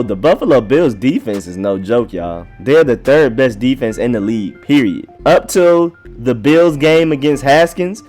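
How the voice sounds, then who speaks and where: A young man hosts a sports show, his voice hoarse and worn from a cold. He talks in a slangy, chatty way and leans hard on his points. Faint music plays under him. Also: mid-range voice, darkish tone. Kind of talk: casual sports commentary.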